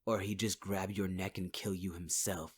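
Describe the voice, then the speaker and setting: A man's voice: deep voice, flat delivery, normal speed, slightly rough. A man playing a whispering, menacing villain. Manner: taunting, cold, calling the listener sweetheart; half-whispered delivery. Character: menacing male villain, possessive and cruel. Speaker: audio roleplay performer. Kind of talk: ASMR audio roleplay.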